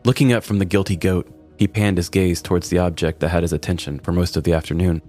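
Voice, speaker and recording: A man with a fairly low voice narrates a scripted comic tale. His delivery is wry and dramatic, and music plays faintly behind him. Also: fairly even intonation, fast, dark tone. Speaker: podcast host and storyteller.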